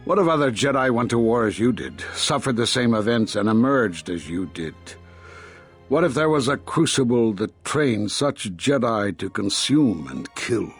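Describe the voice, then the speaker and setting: An actor playing a stern, condemning older Jedi master in a video game, speaking gravely and deliberately with a husky voice. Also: male voice, medium pitch, lively intonation, faint music behind. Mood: stern, accusing. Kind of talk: dramatic video game dialogue.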